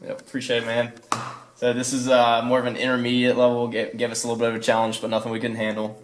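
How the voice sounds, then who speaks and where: A young man presents a student project informally. His delivery is off-the-cuff and laid-back, with hesitant pauses. His voice sits at an average pitch for a man. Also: completely flat delivery. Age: young adult.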